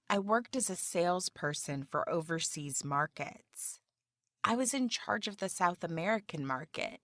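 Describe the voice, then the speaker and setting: A woman playing a job candidate in a scripted practice interview for English learners, speaking slowly and carefully. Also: lowish voice, fairly bright tone, no echo, studio quality.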